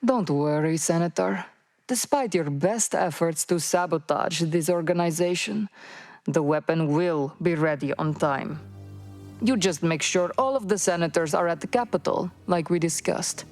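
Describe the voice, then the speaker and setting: An actress playing a cold, commanding, vengeful woman who leads a criminal organization in a video game, speaking deliberately. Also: on the low side, darkish tone, faint music behind, lightly acted. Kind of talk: scripted video game cutscene acting.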